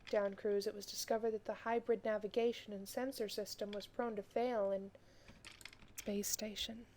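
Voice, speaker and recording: A woman playing an earnest female space officer, narrating her story wistfully in a measured audio drama style. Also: mid-range voice, little pitch movement, warm tone, close to an everyday voice. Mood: measured, reflective.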